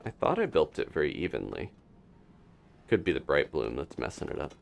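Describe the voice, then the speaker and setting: A man's voice: extremely low pitch, huge pitch swings, fairly quick, gravelly, music in the background. A man doing casual live commentary on a video game as he plays, thinking aloud. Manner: thinking aloud, talking to himself and his game character.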